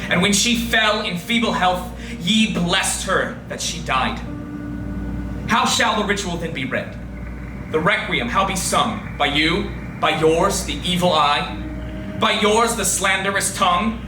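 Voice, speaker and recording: A man acts out an indignant, grieving lover, defiant and scornful. He declaims the verse theatrically at a slow pace in a highish male voice. The sound is roomy and echoing, with music behind. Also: animated. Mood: scornful accusing. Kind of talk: dramatic poetry reading. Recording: roomy, distant recording with music.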